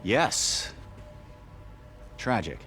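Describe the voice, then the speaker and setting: An actor playing a courteous but softly menacing middle-aged villain in a video game, speaking in a measured, formal way. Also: male voice, on the low side, very animated, soft background music, heavily acted. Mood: polite, guarded. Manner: measured, formal, veiled threat. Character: courteous, softly menacing male villain hiding his intent.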